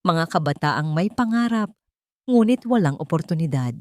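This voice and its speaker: A woman reading a scripted love story as a narrator, in a dramatic, sentimental and expressive way. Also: low-pitched, moderate pace, dry recording, clean studio sound.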